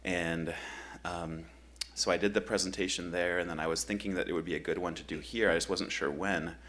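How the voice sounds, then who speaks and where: A man from Michigan facilitating a grief workshop at a church, talking in a relaxed, conversational way with frequent ums. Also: low-pitched, brisk, slight background noise. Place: church meeting room.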